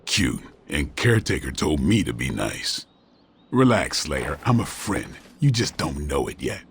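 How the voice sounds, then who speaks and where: An actor playing a cool, gruff vampire hunter with a sardonic, dry manner in a video game. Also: male voice, very deep voice, very animated, a little slow, a little hiss or hum, full character voice. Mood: wry.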